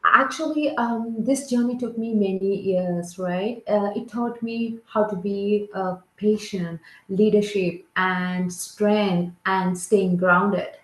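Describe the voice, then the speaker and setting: Slow-speaking woman from Sri Lanka, echoey call. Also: mid-range voice, steady tone. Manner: slow, searching, with non-native grammar. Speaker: guest. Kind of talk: casual interview answers.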